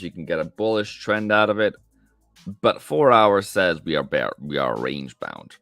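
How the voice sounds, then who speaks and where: A low-voiced man with a gravelly edge hosts a live trading stream. He talks in a lively, up-and-down way and chats casually with viewers, calling them mate. Faint music plays behind him. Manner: casual, chatty, answers viewers directly, calls them mate. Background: faint background music.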